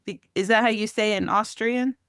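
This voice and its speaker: A woman's voice: mid-range voice, fairly even intonation, fairly quick, a bit bright, smooth, no room sound, clean studio sound. A woman hosting a podcast, chatting informally and teasing her guest in a self-deprecating way. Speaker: podcast host. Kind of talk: casual interview chat.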